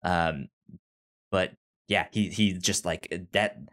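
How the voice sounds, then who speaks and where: A man co-hosting a casual film discussion, thoughtful and halting, often stuttering and repeating syllables. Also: deep voice, fairly even intonation, fairly bright tone. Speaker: podcast co-host.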